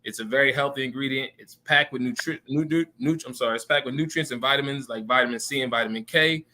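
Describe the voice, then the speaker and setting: Bright-voiced chef. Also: male voice, medium pitch, quick pace. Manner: friendly, earnest, explains simply. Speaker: private chef guest. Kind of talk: explaining on a live stream.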